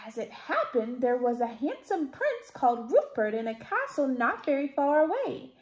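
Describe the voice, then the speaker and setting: A woman in her thirties reads a children's picture book aloud expressively, with deliberate, theatrical emphasis. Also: high voice, big pitch swings. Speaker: library storytime reader. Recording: roomy indoor echo.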